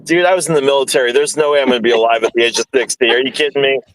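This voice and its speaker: A man's voice: medium pitch, fairly even intonation, racing, no room sound, low-quality recording. A man in his forties co-hosting a sports show with casual, joking banter and a teasing way of talking. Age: 40s.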